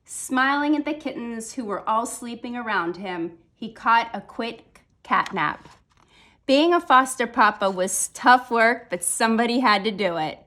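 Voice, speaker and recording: Woman reading a kids book out loud, kinda high voice, slow n expressive. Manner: expressive, deliberate phrasing with dramatic emphasis. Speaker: author reading her own picture book aloud. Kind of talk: animated children's story read-aloud.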